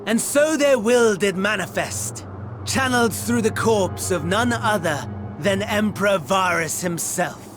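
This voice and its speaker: A high-voiced, florid villainous servant. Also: male voice, animated, a little slow, over music. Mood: reverent, grandiose. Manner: florid, preacher-like, formal. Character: sly, reverent male imperial servant, smugly courteous villain. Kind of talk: theatrical video game cutscene narration.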